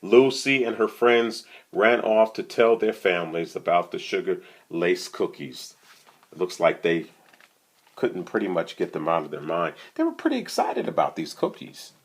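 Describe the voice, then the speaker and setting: A man's voice: low-pitched, very animated, normal speed, husky. A man reading a picture book aloud to children, conversationally, with casual asides and questions to the listener. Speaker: storytime reader.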